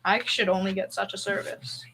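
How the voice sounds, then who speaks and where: A woman's voice: mid-range voice, level tone, moderate pace, creaky, fairly bright tone, slightly rough. A young female middle school student playing a meek, mournful male maestro neighbor in an old-time radio comedy, plaintive and hesitant. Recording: faint noise, room ambience.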